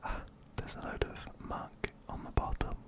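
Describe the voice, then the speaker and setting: A man whispering breathily and gently, very close to a binaural microphone, as he narrates a game and reads its text aloud. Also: normal speed. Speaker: ASMR video host. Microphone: close-up binaural microphone, ear to ear.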